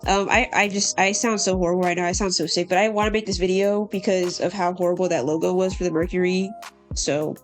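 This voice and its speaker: A woman's voice: fairly low pitch, fairly even intonation, fast, soft background music. Congested young woman ranting casually. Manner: chatty, blunt, laughs often. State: congested, under the weather. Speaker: channel host. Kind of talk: casual ranking chat.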